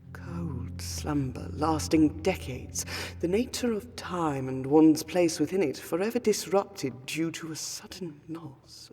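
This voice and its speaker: Over clear background music, a woman performs a centuries-old noble lady vampire speaks in a fantasy roleplay. She is exhausted and sorrowful, with very slow, halting, archaic phrasing. Her delivery is intimate, with sighs and breathy whispered turns. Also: sits low. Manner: formal archaic diction, halting, sighing, slipping into breathy whispers. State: exhausted and pained. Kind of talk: fantasy roleplay audio drama, intimate monologue. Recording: music behind the voice.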